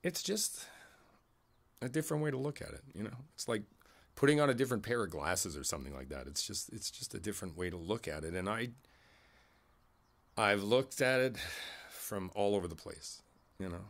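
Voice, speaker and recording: Older male neighbor played by a man, lowish voice, easygoing and philosophical, unhurried folksy pauses, reassuring one-on-one ASMR roleplay. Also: animated, fairly bright tone. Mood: reflective, earnest. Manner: folksy, rambling, pausing, chuckling between thoughts. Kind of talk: ASMR roleplay, one-sided conversational monologue.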